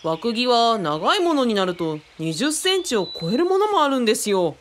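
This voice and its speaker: A woman's voice: fairly high pitch, very animated, normal speed, a little hiss or hum. A woman playing an eager, kindly young craft enthusiast who speaks politely and keenly in a radio drama. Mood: enthusiastic. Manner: keen, polite, explanatory.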